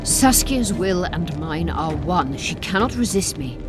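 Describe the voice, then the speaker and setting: An actress playing a proud, imprisoned sorceress who pleads urgently for release while keeping a haughty edge. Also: female voice, on the low side, lively intonation, unhurried. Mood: urgent, pleading. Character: proud imprisoned sorceress, middle-aged woman. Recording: game audio with orchestral music.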